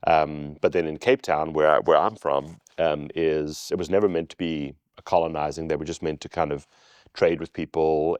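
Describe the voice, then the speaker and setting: Middle-aged pastor, low, unhurried storyteller, dry recording. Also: male voice, clear, resonant tone, no room sound. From South Africa. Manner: relaxed storytelling, joking. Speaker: lead pastor. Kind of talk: casual conversation.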